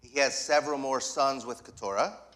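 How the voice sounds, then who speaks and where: A man preaching a sermon in short phrases, pausing for an interpreter. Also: medium pitch, a bit bright. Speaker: guest preacher. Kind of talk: sermon in English with live interpretation. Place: church sanctuary.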